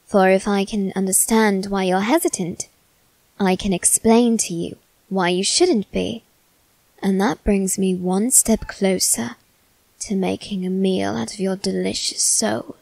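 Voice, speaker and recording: A woman's voice: medium pitch, steady tone, a little slow, creaky, a little mellow, no room sound, low-quality recording. A woman playing a teasing, haughty young male demon servant in a theatrical, mock-polite ASMR roleplay. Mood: impatient, coaxing. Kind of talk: ASMR character roleplay addressed to the listener.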